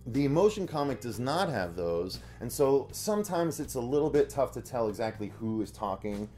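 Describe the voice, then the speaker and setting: A man's voice: mid-range voice, big pitch swings, normal speed, darkish tone, faint music behind. An American man in his thirties co-hosting a review show, chatting casually to the camera. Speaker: co-host of a comic review show. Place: small indoor room lined with comic shelves.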